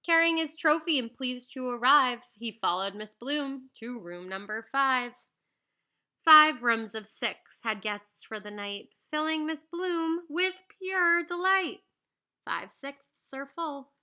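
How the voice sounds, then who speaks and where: A woman reading a children's picture book aloud in an animated, singsong storyteller's way, with teacherly asides. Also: high voice, slow pace, clear, resonant tone.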